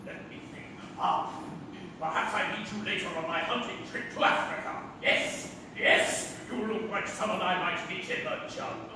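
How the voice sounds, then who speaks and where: A man's voice: sits high, normal speed, lightly acted. An actor playing a deluded, blustering man who thinks he is the President, in exclamatory stage comedy style. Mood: indignant, suspicious. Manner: exclamatory, bombastic, commanding. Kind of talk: theatrical stage comedy acting. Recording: echoey theatre, far from mic, faint noise.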